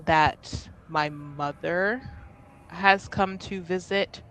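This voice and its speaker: Unhurried woman over faint background noise. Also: fairly low pitch, fairly bright tone, poor audio. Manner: hesitant, thinking aloud. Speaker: tabletop roleplaying player. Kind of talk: casual improvised roleplay chat.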